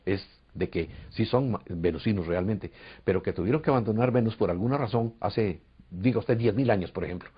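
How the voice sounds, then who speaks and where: A man's voice: on the low side, slightly rough. A man from Costa Rica with a Costa Rican Spanish accent, earnestly telling a long story in a recorded interview, with hesitations. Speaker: interviewee, UFO contactee. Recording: dull lo-fi recording.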